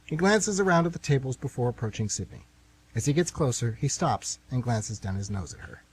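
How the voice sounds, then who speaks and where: A man's voice: medium pitch, animated, a little fast, slight background noise, rough recording. A man narrating and announcing a scripted radio theatre play.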